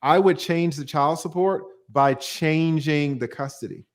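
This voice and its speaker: A man with a fairly high voice explains divorce law to callers on a livestream. He speaks plainly as an attorney and keeps pulling in everyday examples to make his points. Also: measured pace.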